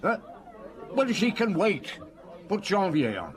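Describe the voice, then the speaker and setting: High, impatient police chief. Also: male voice, wildly swooping pitch, slow pace, crowd noise, full character voice. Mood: impatient, commanding. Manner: abrupt, distracted, commanding. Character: impatient, bossy male police chief giving orders. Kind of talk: radio drama dialogue.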